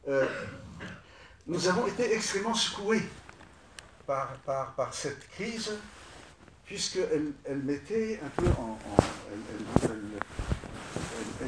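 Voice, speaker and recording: A man whose first language is Arabic, recounting his film work to an audience in a halting way, repeating words as he restarts. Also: on the high side, distant mic, background noise. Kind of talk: spoken recollection to an audience. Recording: echoey room, voice from off-mic.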